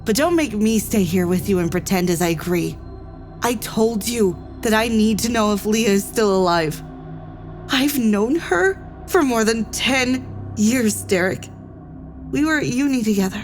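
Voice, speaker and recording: A woman's voice: medium pitch, lively intonation, a little slow, faint music behind, lightly acted. A woman playing an exasperated, loyal crew member, insistent and confrontational, in a sci-fi audio drama. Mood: insistent, upset.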